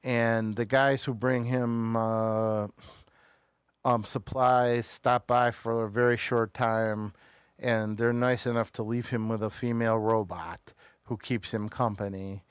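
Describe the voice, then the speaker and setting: A man hosting a casual review discussion, talking in a halting way that often trails off and picks up again. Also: mid-range voice, fairly even intonation, smooth, no room sound, clean studio sound. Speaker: show host reviewing television episodes.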